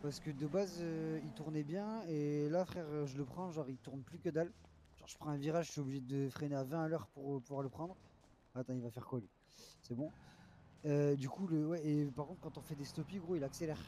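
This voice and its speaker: A man's voice: a little high, fairly quick, warm tone, slight background noise. A young man talking in casual, slangy, confrontational chat while playing an online roleplay game.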